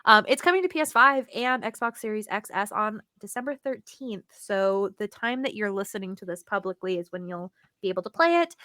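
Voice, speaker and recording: A woman's voice: medium pitch, expressive, fairly quick, hardly any pauses, pushed and bright, no room sound. A woman with a mid-range voice talks casually as a podcast co-host. She is chatty and enthusiastic, with loose, informal phrasing. The sound is dry and close. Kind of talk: casual gaming podcast chat.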